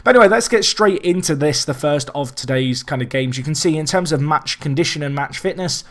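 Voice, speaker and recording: A young man with a slightly raspy, hoarse voice, chatting casually as he commentates his own football management game, rambling and restarting and getting excited at big chances. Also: on the high side, a little fast. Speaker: let's play host.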